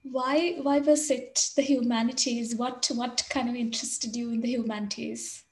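A woman's voice: a little high, level tone, normal speed. A woman hosting a podcast interview, speaking politely and hesitantly with many fillers, on a video call from a room with bookshelves. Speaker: podcast host. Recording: roomy video-call audio.

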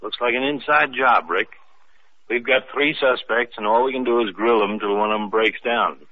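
An actor playing a brisk, skeptical police lieutenant, in 1940s radio acting style. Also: male voice, medium pitch, lightly acted. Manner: brusque, businesslike. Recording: tinny old radio recording.

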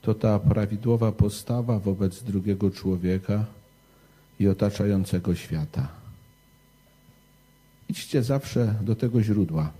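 A man preaches and tells stories to a young gathering in a very dark, mellow voice. He takes his time, speaking slowly and deliberately. The sound is husky rather than clean. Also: fairly low pitch. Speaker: preacher telling a bedtime parable to young people. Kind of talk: storytelling and short homily to a youth gathering.

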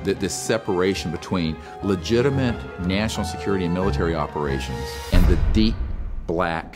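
A man speaking seriously and deliberately in a documentary interview. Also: low voice. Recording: loud music under film clip. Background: loud music.

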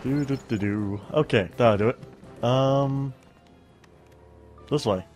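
A man's voice: mid-range voice, takes it slowly. A young man with a somewhat stuffy voice hosts a casual let's play, rambling and getting distracted, with lots of fillers and bits of humming. He is on a studio mic at home, with faint game music behind him. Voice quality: stuffy-sounding. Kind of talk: casual gameplay commentary.

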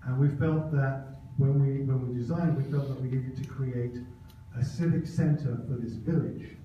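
A man's voice: medium pitch, fairly even intonation, normal speed, slight background noise. A middle-aged man who designed the plan, earnestly presenting it at a town hall. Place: large meeting room, indoors. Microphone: handheld mic. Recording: dull echoey hall, taped from far off.

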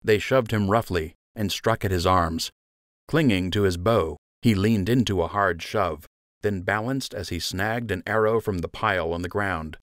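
A man's voice: deep voice, normal speed, a bit bright, dry recording, clean studio sound. A man narrating an audiobook story in a scripted storytelling style.

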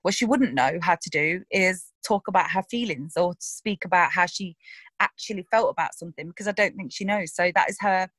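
A young actress talking casually in an interview about a character she played, animated and quick to act out quoted lines. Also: fairly low pitch, dry recording.

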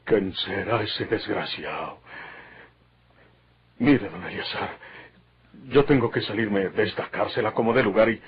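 Husky, hot-tempered jailed bandit. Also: male voice, lowish voice, measured pace, long pauses. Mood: grave, bitter. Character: hot-tempered jailed Mexican bandit, vengeful, anguished father. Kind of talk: old Mexican radio drama acting. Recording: muffled, husky old radio recording.

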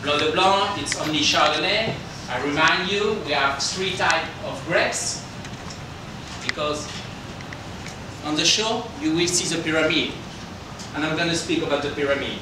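French guy giving a tasting talk, halting english w french accent, very echoey room, noisy background. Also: on the high side. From France. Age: middle-aged. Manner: halting non-native English with simple phrasing. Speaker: winemaker presenting a tasting. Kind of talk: informal presentation to a group. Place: indoor hotel function room. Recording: roomy, distant microphone with background noise.

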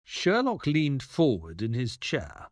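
A man playing a detective's loyal assistant who narrates an audio drama in a measured storytelling manner. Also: medium pitch, huge pitch swings, no room sound, clean studio sound. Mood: suspenseful storytelling.

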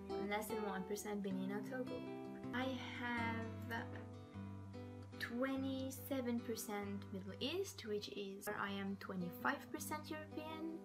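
Young woman from Algeria, halting. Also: on the high side. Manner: halting, list-like with fillers. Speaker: vlogger sharing test results. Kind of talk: casual reading of results. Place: indoor room. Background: guitar music.

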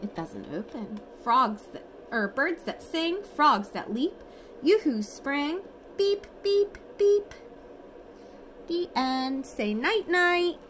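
A woman's voice: high-pitched, animated, slow pace, a little hiss or hum. Sing-song woman reading a bedtime story. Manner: sing-song, encouraging child-directed speech. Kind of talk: read-aloud storytelling to a toddler. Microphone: phone at arm's length.

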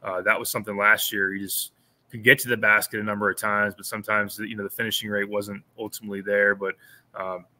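Man co-hosting, bright, lowish voice. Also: little pitch movement, fast talker. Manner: thoughtful, many restarts and repeated words. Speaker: podcast co-host, sports analyst. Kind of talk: casual postgame analysis. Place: small indoor room at home. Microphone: over-ear headphones with a webcam on a video call.

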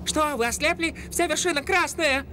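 A woman's voice: high-pitched, pushed and bright, a little hiss or hum. A woman voicing a small, plucky male animal sidekick in a cartoon, feisty and easily indignant. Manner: feisty, indignant, quick to protest. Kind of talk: cartoon dub.

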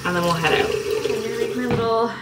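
A woman's voice: fairly high pitch, fast, heavy background noise. Young female fitness vlogger, chatty and upbeat, filmed from a few feet off in a roomy apartment. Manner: chatty, upbeat, lots of "like" fillers. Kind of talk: casual vlog chat to camera. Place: home kitchen and living room. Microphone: camera on counter, speaking from a few feet away. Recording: roomy apartment sound with music underneath.

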